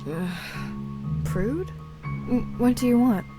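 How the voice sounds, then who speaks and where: A woman's voice: a little high, expressive, slow pace, low-quality recording. A woman voicing a dry, terse young necromancer graduate in a visual novel game. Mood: groggy. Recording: music bed under the voice.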